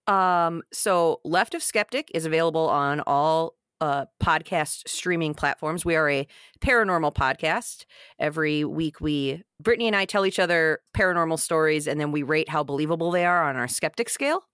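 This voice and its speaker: A woman chats casually as a podcast guest. Her voice is on the low side, recorded dry with no room sound. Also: moderate pace, fairly bright tone, clean tone, no room sound. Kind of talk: casual chat.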